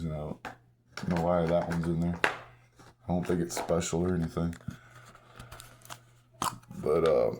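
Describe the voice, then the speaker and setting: A man with a hoarse, half-lost voice, chatting casually and rambling through a collector's show-and-tell vlog. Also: sits low, background noise. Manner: rambling, self-correcting, chuckles at himself. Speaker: coin-collecting vlogger.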